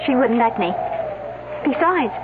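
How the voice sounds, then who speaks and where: Sarcastic bitter young woman, high voice, tinny vintage radio drama. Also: lively intonation, measured pace. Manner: sarcastic, pointed. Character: bitter, sharp-tongued young woman student. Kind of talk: old-time radio drama acting. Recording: tinny noisy old radio recording.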